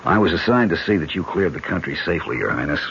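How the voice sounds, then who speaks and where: A muffled, noisy old radio broadcast. a hard-bitten American government man with a low voice, cool-headed under threat, in 1970s radio drama style. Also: big pitch swings, quick pace, gravelly, fairly bright tone, full character voice. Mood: guarded, matter-of-fact.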